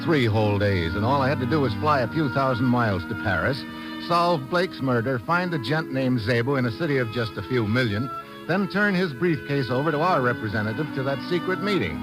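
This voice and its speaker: An actor playing a tough, sardonic American agent, narrating and talking in hard-boiled old-time radio drama style. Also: male voice, mid-range voice, lightly acted, low-quality recording. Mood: wry. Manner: sardonic, slangy.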